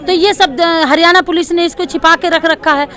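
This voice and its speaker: A woman's voice: very high-pitched, fairly even intonation, normal speed, bright, forceful tone. A woman gives an impassioned street interview, emphatic and repeating her points. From Delhi, India. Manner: emphatic, repetitive. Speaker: local protester interviewed. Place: outdoors at a protest. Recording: outdoor street with crowd noise.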